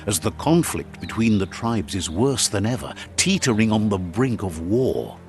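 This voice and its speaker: A man giving measured, dramatic storybook narration for a game. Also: lowish voice, big pitch swings, soft background music.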